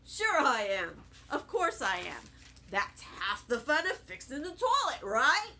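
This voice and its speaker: A woman's voice: high-pitched, big pitch swings, a little slow, pushed and bright, slight background noise, heavily acted. A woman voicing an easygoing, playful old grandfather with a folksy, teasing manner in a storybook reading. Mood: cheerful. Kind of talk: children's storybook read aloud.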